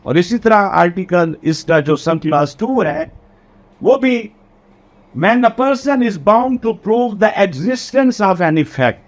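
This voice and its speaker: A man with a Pakistani accent giving an explanatory lecture as a teacher, deliberate, repeating and rephrasing key phrases. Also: sits high, lively intonation. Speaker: law lecturer. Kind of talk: explanatory exam lecture, code-switching between Urdu and English legal terms.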